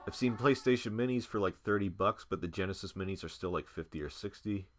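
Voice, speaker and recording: Stuffy-nosed man with a deep voice. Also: fast talker. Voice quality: stuffy, nasal congested voice. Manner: relaxed, rambling, swears casually. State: congested. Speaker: game streamer. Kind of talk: casual chat while playing a game on a live stream.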